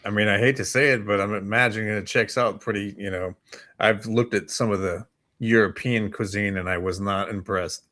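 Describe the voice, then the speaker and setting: A man's voice: on the low side, fairly even intonation, normal speed, bright, forceful tone. An American man co-hosting a casual morning podcast in an easygoing, conversational way. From United States. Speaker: talk show co-host.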